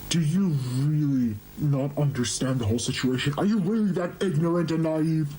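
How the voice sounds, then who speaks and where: A man's voice: fairly high pitch, normal speed, warm tone, slight background noise, natural voice, low-quality recording. A man voicing an exhausted, anxious monster queen who scolds her husband in an amateur horror drama. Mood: exasperated, scolding.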